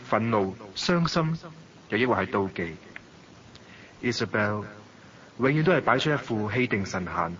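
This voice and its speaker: An actor playing a suave, worldly gentleman narrating and talking in a radio drama, with a Hong Kong Cantonese accent. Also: mid-range voice. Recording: dull lo-fi audio, noisy background.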